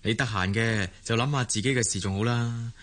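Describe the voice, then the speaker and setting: A man's voice: on the low side, fairly even intonation, fairly quick, a bit bright, lightly acted. An actor with a Hong Kong Cantonese accent plays a down-to-earth, sceptical young man in a radio drama. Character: down-to-earth young grandson, loyal and sceptical friend.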